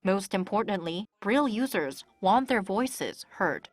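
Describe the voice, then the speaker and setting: A woman's voice: medium pitch, big pitch swings, a little slow. A woman reporter delivering scripted narration for a television news feature.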